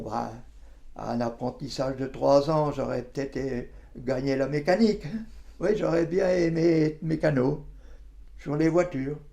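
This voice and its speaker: Slow, creaky-voiced very old man. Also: a little high, warm tone. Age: 90s. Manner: halting, repeats phrases, colloquial. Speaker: interviewee, war witness. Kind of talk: reminiscing testimony in interview.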